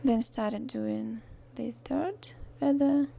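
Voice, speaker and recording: Young Russian-accented woman, mellow and gravelly, halting tutorial voice-over. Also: a little high, fairly even intonation, slight background noise. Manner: halting, with many fillers and restarts, soft-spoken. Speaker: art tutorial narrator. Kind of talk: voice-over narration of a drawing tutorial.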